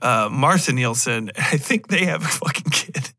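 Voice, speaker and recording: Bright, punchy American man rambling on a podcast. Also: mid-range voice, expressive, normal speed, dry recording, studio quality. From United States. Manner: profane, blunt and irreverent. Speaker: podcast host. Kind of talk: casual solo podcast rambling review.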